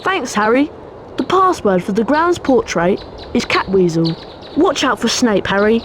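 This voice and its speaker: A woman's voice: a little high, sing-song, unhurried, a little hiss or hum. A woman voicing a chatty, playful schoolchild who trades sweets for secrets in a video game. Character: chirpy schoolchild trading sweets for passwords. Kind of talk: video game character acting.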